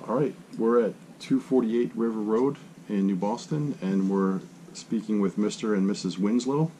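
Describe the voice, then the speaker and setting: A man's voice: lowish voice, moderate pace, dark tone, a little hiss or hum, low-quality recording. A man conducting an interview, asking questions hesitantly with many fillers. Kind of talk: casual interview.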